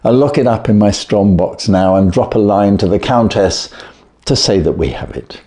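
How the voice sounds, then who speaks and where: Guy reading brisk victorian detective for an audiobook, very animated, commanding, precise, sure of himself. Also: on the low side, close to an everyday voice. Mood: musing, grave. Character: brisk, clever, self-assured Victorian gentleman detective.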